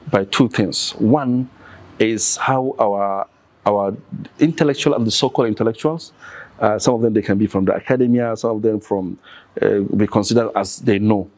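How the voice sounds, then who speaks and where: A man's voice: on the low side, a little mellow, dry recording. A man with a Nigerian accent, a podcast guest talking earnestly and listing examples one after another. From northern Nigeria. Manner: earnest, lists examples insistently. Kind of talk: conversational interview.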